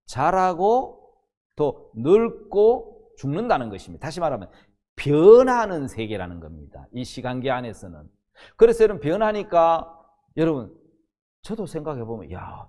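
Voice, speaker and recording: A man's voice: a little high, mellow. A man in his sixties, a pastor teaching the Bible in a sermon, emphatic and often posing questions that he answers himself. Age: 60s.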